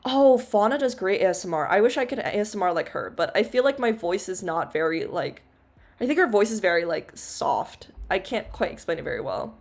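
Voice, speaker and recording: A woman's voice: lively intonation, fairly quick. Chatty young woman, full of "like"s. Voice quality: low-ish, loud voice. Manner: rambling, filler-heavy, giggly, lots of "like". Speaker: VTuber streamer. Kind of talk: casual Q&A livestream chat.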